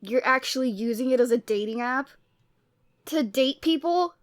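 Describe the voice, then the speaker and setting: A lovestruck Cupid girl acted by a woman talks to her best friend in a fairly high voice. She is conversational and openly emotional, in the style of an audio roleplay. The sound is very dry, with no room around it. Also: normal speed, no room sound, natural voice. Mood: upset, exasperated. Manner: conversational, teasing, emotionally expressive. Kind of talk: ASMR roleplay audio drama, addressing the listener.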